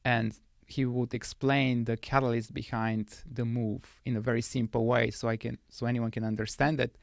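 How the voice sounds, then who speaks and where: A man in his thirties, originally from Bulgaria, speaking as a guest trader in a studio podcast interview with a soft, measured manner. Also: medium pitch, level tone, creaky, dry recording.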